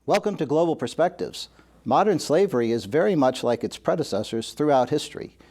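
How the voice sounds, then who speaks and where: Husky-voiced male talk show host. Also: on the high side, animated, darkish tone, soft background music. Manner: measured, brief reactions. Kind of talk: scripted intro and interview.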